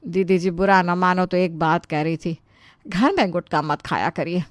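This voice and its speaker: A woman's voice: on the low side, normal speed, dry recording, studio quality, lightly acted. A woman voicing an anxious, deferential relative in a Hindi story, with a polite, pleading manner. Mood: polite, wary. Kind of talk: Hindi storybook character acting.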